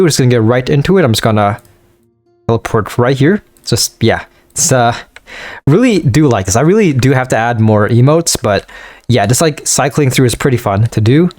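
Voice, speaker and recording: Easygoing man rambling over gameplay. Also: mid-range voice. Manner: rambling, filler-heavy, easygoing. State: voice higher than usual at night. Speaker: gaming channel host. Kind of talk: casual let's-play commentary.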